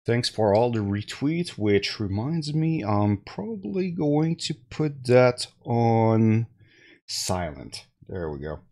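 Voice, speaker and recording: A man's voice: mid-range voice, very animated, slow pace, dark tone. A man in his thirties from Canada, chatting casually on a live stream about tech deals through a headset, a little congested, with many fillers and restarts. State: slightly congested. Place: small room at home.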